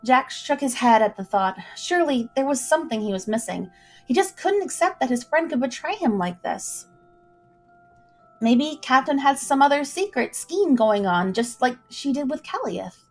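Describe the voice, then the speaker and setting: High-voiced woman narrating an adventure story. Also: animated, moderate pace. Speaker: storyteller, livestream host. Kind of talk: dramatic scripted story narration. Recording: music behind the voice.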